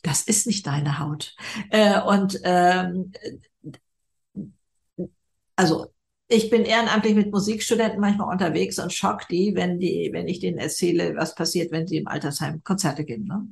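An older woman speaks as a guest in a conversational interview. Her voice sits fairly low and has a gravelly edge. She talks in a lively, emphatic way. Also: moderate pace.

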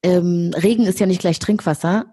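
Woman host from Rwanda, low husky voice, curious interviewer. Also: fairly even intonation, normal speed, dark tone. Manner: curious, searching for words. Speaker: podcast host. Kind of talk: podcast interview.

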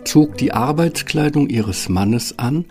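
Slightly creaky male fairy-tale narrator. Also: mid-range voice, expressive, slow, darkish tone, faint music behind.

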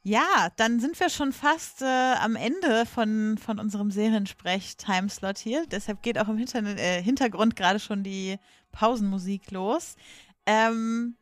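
A woman's voice: medium pitch, measured pace, no room sound. A woman hosting a podcast in a casual, conversational way, moderating the talk. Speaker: podcast host.

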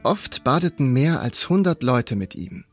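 A man's voice: mid-range voice, big pitch swings, measured pace. A man reading out a historical quotation in a scripted voice-over. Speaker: quote reader. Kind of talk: read-out historical source quotation. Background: music bed.

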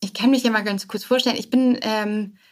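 A woman's voice: on the high side, steady tone, fairly quick. A woman in her thirties telling her story casually as a podcast guest, informally mixing in English business jargon. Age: 30s. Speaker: podcast guest, startup founder.

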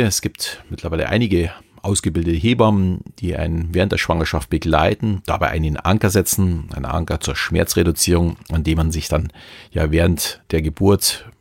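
A man's voice: sits low, gravelly, husky, no echo, clean studio sound. A man with a Bavarian accent, hosting a podcast on hypnosis, who talks in a loose, chatty way with many fillers. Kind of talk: casual podcast talk.

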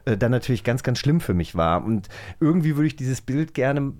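A man's voice: mid-range voice, expressive, fairly quick, darkish tone. A man hosting a casual podcast conversation, speaking in a candid and personal way. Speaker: host.

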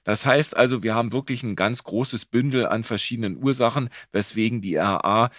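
A man's voice: mid-range voice, a little fast, fairly bright tone, no room sound, studio quality. A male rheumatologist giving explanatory expert commentary in a didactic way, with asides and emphasis.

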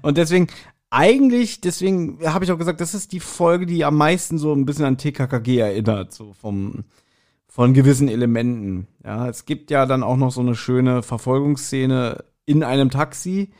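A man co-hosting a podcast, chatting casually and retelling stories in a colloquial, somewhat halting way. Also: mid-range voice, a little mellow. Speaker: podcast co-host. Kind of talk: casual podcast chat, reviewing audio dramas.

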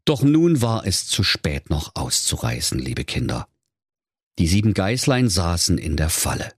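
A man's voice: low-pitched, big pitch swings, measured pace, breathy edge, no room sound, clean studio sound. A man narrating a comic fairy tale as a storyteller, in a dramatic storybook manner. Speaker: storyteller, podcast host.

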